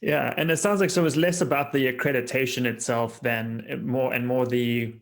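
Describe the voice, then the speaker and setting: A man with a gravelly, creaky voice at a medium pitch hosts a podcast interview. He sounds attentive and conversational, murmuring short agreements and pausing to find his wording. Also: steady tone. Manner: attentive, frequent short acknowledgements, halting when summarizing.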